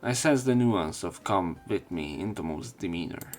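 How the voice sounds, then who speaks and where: A man's voice: low-pitched, expressive, moderate pace, a little mellow, soft background music. A man narrating a visual novel as a let's player, reading its story and dialogue aloud.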